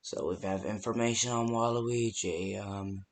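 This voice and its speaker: Guy doing game phone call lines, kinda low voice, slow, rambly, lots of ums, super casual. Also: fairly even intonation. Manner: halting, hesitant, rambling with many ums; casual, unpolished delivery. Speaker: phone-call guide in a fan horror game.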